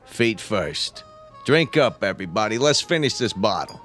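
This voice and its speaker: Male, radio-play mob boss: deep, gruff, threatening, used to giving orders. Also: sing-song, normal speed, a bit bright, soft background music. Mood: menacing. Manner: gruff, commanding, dismissive. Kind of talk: radio drama acting.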